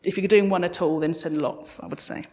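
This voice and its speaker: A woman's voice: on the low side, fairly even intonation, fairly quick, a little mellow. Woman answering in a reverberant hall. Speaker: guest sound designer and composer on a live panel. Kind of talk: conversational panel answers to audience questions. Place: live podcast festival stage in a hall. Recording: reverberant hall at a live event.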